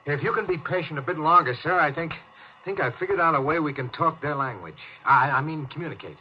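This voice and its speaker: A man plays an earnest, deferential spaceship officer in an old radio drama. His fairly high voice is lively in pitch, with careful, hesitant pauses as he explains. The vintage recording is dull and boxed in, with music in it. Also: a little hiss or hum, natural voice. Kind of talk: 1950s radio drama acting. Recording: dull, band-limited 1950s radio recording.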